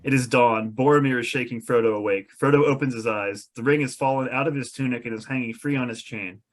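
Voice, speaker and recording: A male narrator reads scene directions from a script. His voice sits at a middle pitch, in the style of scripted narration for a table read. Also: fast.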